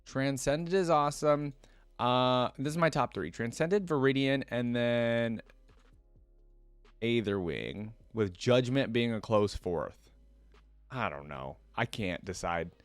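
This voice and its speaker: A man's voice: mid-range voice, lively intonation, takes it slowly, clear, resonant tone, dry recording, soft background music. A man talking casually and enthusiastically as a reaction video host, commenting and reading text aloud.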